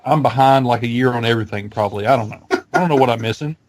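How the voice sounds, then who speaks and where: A man's voice: medium pitch, rapid-fire. Rambling Southern man co-hosting. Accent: Southern American. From American South. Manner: rambling, hesitant, with fillers. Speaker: podcast co-host. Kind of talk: casual chat.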